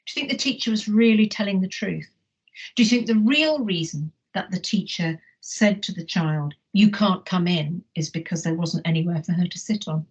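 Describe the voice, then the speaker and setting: A woman's voice: fairly low pitch, normal speed, husky, muffled recording. A Welsh-born middle-aged woman with an English accent, reading a picture book aloud and asking schoolchildren questions in an online lesson. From Wales. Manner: gentle storyteller, asks children questions. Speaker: teacher. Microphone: live online lesson mic.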